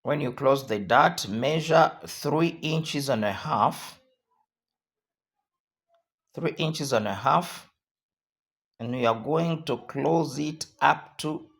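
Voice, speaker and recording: Hoarse male tutorial host, slow and repetitive instructional delivery. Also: mid-range voice, expressive, fairly bright tone.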